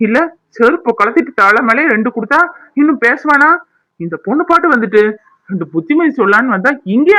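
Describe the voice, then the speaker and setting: A woman's voice: fairly high pitch, a bit bright, low-quality recording. A woman acting a fierce, scolding village woman in a drama, with a confrontational, threatening manner. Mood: exasperated. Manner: confrontational, threatening, rhetorical questions.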